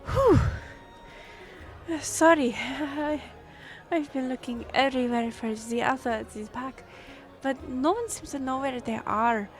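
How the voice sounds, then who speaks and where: A slow-spoken young half-elf royal liaison, played by a woman, with a somewhat high voice. She is courteous and easily flustered, hesitating and apologising as she goes, in fantasy audio roleplay style. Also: soft background music.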